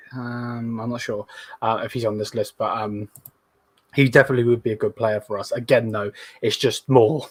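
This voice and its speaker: A slightly hoarse man with a fairly low voice hosts a casual live stream, chatting loosely and filling gaps with plenty of ums. His voice is recorded dry and close, with hardly any room sound. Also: no echo. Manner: rambling, many fillers, relaxed and hesitant. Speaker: host of a live fan stream. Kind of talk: casual live-stream chat reading comments.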